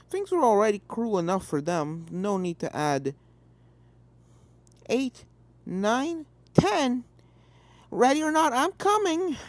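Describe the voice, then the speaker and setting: A woman's voice: fairly low pitch, a little mellow, dry recording. A woman narrating a scripted story expressively. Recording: music behind the voice.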